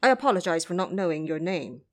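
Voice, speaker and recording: A woman's voice: lowish voice, animated, fast talker, pitched below the performer's own voice, close to an everyday voice. A woman voicing a courteous but timid male temple monk with a polite, nervous manner. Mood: welcoming.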